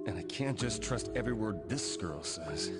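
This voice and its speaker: A man's voice: fairly low pitch, lively intonation, normal speed. A man voicing an anxious, evasive young boyfriend in a video game cutscene, hesitant, stammering and flustered. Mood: nervous. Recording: loud music under dialogue.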